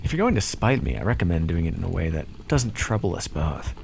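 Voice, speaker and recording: A man's voice: sits low, big pitch swings, brisk, gravelly, slight background noise, full character voice. A man voicing a smug, playfully menacing vampire captor in an ASMR roleplay, speaking to the listener in a theatrical, condescending and teasing way. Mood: coolly businesslike. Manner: condescending, theatrical, teasing drawl with pet names.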